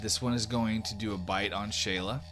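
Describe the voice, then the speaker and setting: Low-voiced man running a tabletop game. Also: fairly even intonation, normal speed, over music. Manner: descriptive, casual, conversational. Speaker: game master of a tabletop role-playing podcast. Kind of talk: improvised game narration with rules talk.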